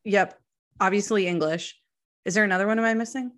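A woman's voice: medium pitch, fairly quick, fairly bright tone. Friendly woman podcast host. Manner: friendly, teasing, laughs easily, frequent affirmations. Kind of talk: casual interview chat.

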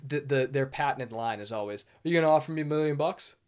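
A man's voice: mid-range voice, fast talker, smooth. Man swapping stories in casual podcast chat. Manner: animated anecdotes, acting out phone exchanges in quotes.

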